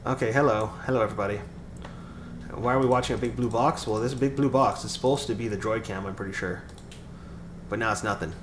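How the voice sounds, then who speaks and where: Raspy-voiced man streaming in an echoey room. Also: on the low side, quick pace. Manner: rambling, full of fillers and restarts. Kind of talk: casual live-stream chat. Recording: echoey room with background noise.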